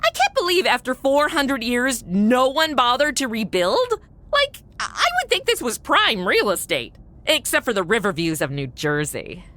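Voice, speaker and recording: Comic lead, a woman playing a snarky modern young woman, high bright voice, lively. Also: slight background noise. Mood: wisecracking. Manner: wisecracking, giggly, quick to mock. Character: sarcastic modern young woman dropped into the future. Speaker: series lead.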